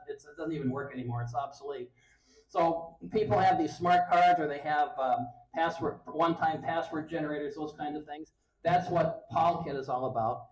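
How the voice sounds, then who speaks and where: A middle-aged man gives a casual technical presentation to a user group, his voice a touch high. He speaks loudly into a handheld mic held close to his mouth. He often repeats and restarts his words as he goes. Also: rough recording. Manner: loud, talks close to the mic, many restarts. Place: meeting room.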